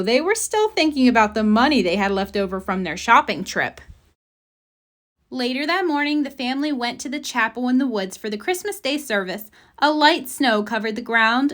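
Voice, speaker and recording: High-voiced woman reading a children's storybook. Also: a bit bright. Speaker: storybook reader. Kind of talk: children's picture book read-aloud.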